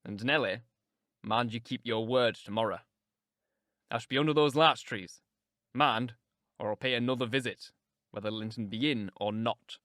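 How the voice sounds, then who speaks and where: A man's voice: mid-range voice, normal speed, long pauses, fairly bright tone, no echo, studio quality, pitched below the performer's own voice, natural voice. A man playing a brooding, passionate, tormented lover with an intense, earnest murmur in an audiobook drama. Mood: grimly determined.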